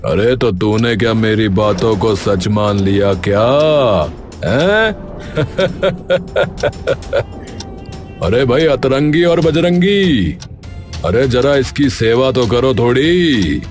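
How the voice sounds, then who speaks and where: A man's voice: mid-range voice, expressive, drawn-out words, music in the background. A man voicing a greedy, cruel and domineering village headman in a cartoon story, menacing and taunting as he talks.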